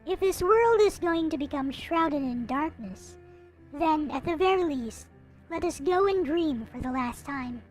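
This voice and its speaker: Woman, high voice, very lively intonation, theatrical story reading, casual asides. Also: measured pace. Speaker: video host reading a visual novel aloud. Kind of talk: expressive dramatic read-aloud with casual commentary. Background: background music.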